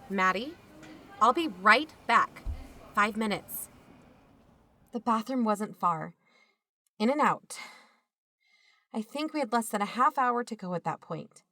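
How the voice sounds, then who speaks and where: An actress playing a watchful, protective aunt in a first-person audio drama, conversational and wry. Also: female voice, mid-range voice, bright, forceful tone, no echo, clean studio sound. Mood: wary. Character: watchful, protective aunt narrating her own mystery.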